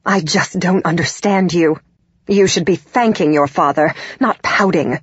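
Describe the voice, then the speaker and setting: A haughty middle-aged mother, voiced by a female narrator, controlling and disapproving. The voice is lowish and husky, in a dry audiobook recording. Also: measured pace, no echo, lo-fi sound. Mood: scornful.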